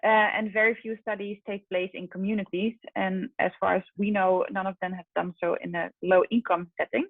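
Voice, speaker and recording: A woman's voice: medium pitch, flat delivery, a little fast, hardly any pauses, no room sound. A woman presenting research in an informal, explanatory lecture style. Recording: muffled, band-limited online call audio.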